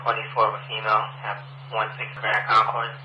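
A man's voice: fairly low pitch, monotone, normal speed, highs cut off. A man working as a police dispatcher, giving clipped, procedural calls over a two-way radio. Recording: echoey, noisy police radio transmission.

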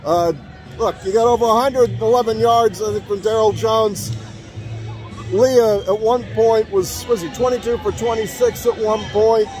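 A man's voice: high-pitched, fairly even intonation, moderate pace, a little mellow. Man, middle-aged, upbeat fan host, phone selfie mic, light crowd noise behind. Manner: upbeat, rattles off player stats. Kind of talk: postgame field chat. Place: outdoor stadium field after a game. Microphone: phone selfie at arm's length. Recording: stadium crowd and music behind.

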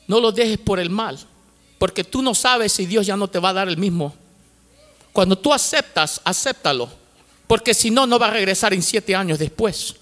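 High-voiced man preaching in a big hall. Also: pushed and bright. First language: English. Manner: fervent preaching with repetition, mixing in English words. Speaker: pastor. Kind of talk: sermon. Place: large church stage with lights. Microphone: handheld microphone. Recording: long hall reverb from church auditorium.

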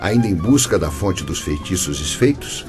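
A man's voice: sits low, lively intonation, moderate pace, over music. An actor with a Brazilian accent playing a composed, slyly courteous, mysterious businessman in a radio drama.

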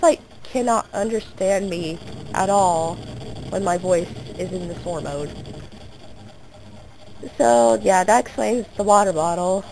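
A teenage girl with a hoarse, sore throat, rambling through casual gameplay commentary. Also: mid-range voice, mellow, a little hiss or hum, low-quality recording. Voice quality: gravelly. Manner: rambling and self-interrupting, coughing now and then. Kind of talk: casual let's play commentary.

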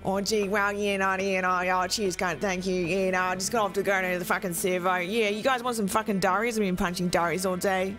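A woman's voice: medium pitch, fairly even intonation, a little fast, hardly any pauses, fairly bright tone, natural voice. A woman putting on a broad Australian accent to play a crude, swearing bogan full of slang. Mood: mocking, rowdy. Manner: swearing, slangy, over the top.

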